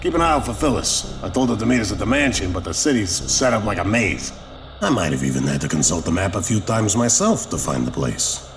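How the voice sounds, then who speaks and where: An actor playing a busy, teasing private detective with a folksy, informal way of talking in an audio drama. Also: male voice, on the low side, very animated, brisk, background noise. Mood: wry, businesslike. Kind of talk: naturalistic audio drama dialogue.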